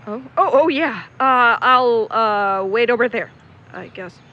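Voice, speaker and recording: A woman's voice: fairly high pitch, lively intonation, takes it slowly, highs cut off, full character voice. A woman playing a playful, awkward, slightly cocky youthful friend in a naturalistic audio drama.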